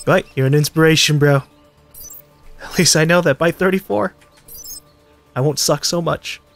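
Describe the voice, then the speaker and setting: A man's voice: on the high side, normal speed, creaky, faint music behind. A man hosting a casual gaming session, laid-back and teasing, laughing easily. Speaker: host.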